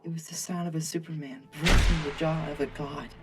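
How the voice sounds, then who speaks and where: A man voicing a somber, haunted woman who narrates a comic book catastrophe in a hushed, grave, measured way. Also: on the high side, flat delivery, natural voice. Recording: loud music behind, slightly distant.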